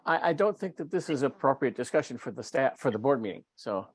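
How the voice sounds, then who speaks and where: A man's voice: medium pitch, lively intonation, quick pace, husky, no echo. A man chairing an online board meeting, running it in brief procedural remarks. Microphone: video call.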